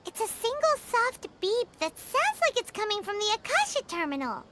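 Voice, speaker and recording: A woman voicing a small, excitable fairy companion in an anime video game, with a squeaky childlike voice and perky delivery. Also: normal speed, heavy background noise. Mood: curious. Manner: perky, exclaiming, refers to self in third person.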